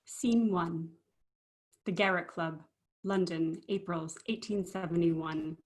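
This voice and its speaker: Female narrator for a play reading, reading stage directions in a slightly low voice. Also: a little mellow. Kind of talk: scripted narration.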